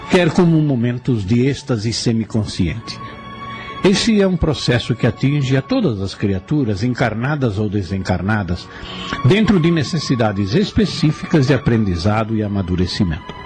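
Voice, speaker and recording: A man's voice: mid-range voice, a little mellow. A man giving solemn, oratorical scripted narration for a radio drama.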